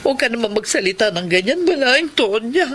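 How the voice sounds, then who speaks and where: A woman's voice: fairly high pitch, big pitch swings, a little fast, fairly bright tone. A woman playing a worried, pleading middle-aged mother in a Filipino radio drama. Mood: sad, reproachful.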